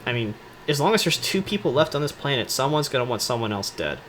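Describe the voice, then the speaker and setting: A man's voice: mid-range voice, big pitch swings, fast, fairly bright tone, a little hiss or hum. A man giving rambling, irreverent, slangy commentary on game cutscenes, with a sarcastic streak. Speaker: video host commentator. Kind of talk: rambling joking commentary over game cutscenes, acting out dialogue in skit voices.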